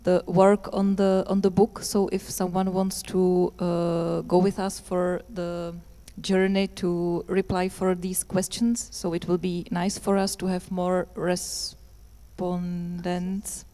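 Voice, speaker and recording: A woman speaking to the audience about a book project, hesitant and halting with many fillers. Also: fairly low pitch, little pitch movement, warm tone.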